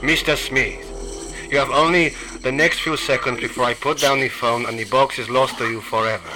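An actor playing a cold, secretive male dealer in a radio play, measured and clipped, often dropping to a menacing hush. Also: mid-range voice, fairly even intonation, noisy background, natural voice.

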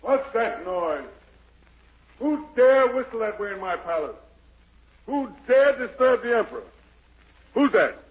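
A haughty emperor demands answers in a high voice that swings widely. He is imperious and deliberate, in the manner of an old radio play. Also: sing-song, husky, full character voice. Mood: indignant. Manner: imperious, booming. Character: commanding, haughty self-made emperor of an island. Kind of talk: 1940s radio drama acting. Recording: dull old 1940s radio broadcast.

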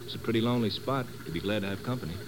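An actor playing a folksy, plain-spoken bush pilot in an old radio drama, informal and breezy. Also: male voice, fairly low pitch, racing, lightly acted. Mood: easygoing. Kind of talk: 1950s radio drama acting. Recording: very noisy old radio recording.

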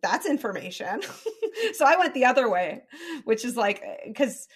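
A woman's voice: a little high, sing-song, normal speed, breathy edge. A young woman with a light Southern Californian accent hosting a podcast, chatting candidly and laughing often. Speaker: podcast host. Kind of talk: casual chat.

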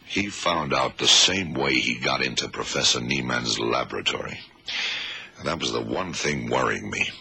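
An actor playing a shrewd detective in a radio drama, deliberate and authoritative as he lays out a case. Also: sharp tone, full character voice. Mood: grave, explaining. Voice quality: very deep, gravelly, husky. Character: shrewd male American blind detective explaining a case. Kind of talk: 1970s radio drama acting.

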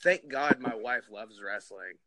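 A man's voice: mid-range voice, a little slow, sharp tone. A man in his thirties from New Jersey, hosting a casual podcast chat in a loose, profane, laughing way. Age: 30s. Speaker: podcast host.

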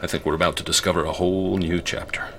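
Low, level-headed male professor. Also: level tone, fairly bright tone, a little hiss or hum. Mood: measured. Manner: measured, matter-of-fact, slightly halting. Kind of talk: radio drama acting.

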